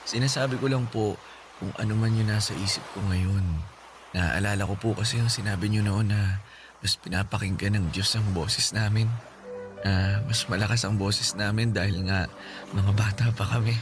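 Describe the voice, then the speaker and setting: An actor with a Filipino accent playing a gentle, brave, sickly young son in a radio drama, speaking respectfully and tenderly. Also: male voice, fairly low pitch, steady tone, normal speed, music in the background, lightly acted. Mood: pleading, hopeful. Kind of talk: Tagalog radio drama acting. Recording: clearly noisy ambient background.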